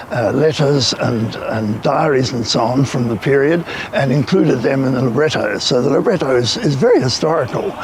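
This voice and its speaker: An older man, a composer and former opera baritone, speaking with a scratchy voice in an interview, often hesitant with fillers. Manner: hesitant, with many fillers and repeats.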